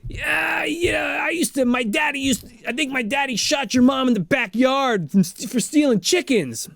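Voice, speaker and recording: A man voicing an angry, taunting middle-aged male bully, loud and halting, in a film recap. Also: extremely high pitch, creaky, no echo, studio quality, pitched above the performer's own voice, heavily acted.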